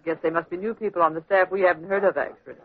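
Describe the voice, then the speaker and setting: An actress playing a sensible, teasing female secretary in an old radio drama, with a dry, gently needling manner. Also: on the low side, steady tone, fast, breathy edge. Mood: businesslike, curious. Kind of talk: 1940s radio acting. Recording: tinny, band-limited old radio recording.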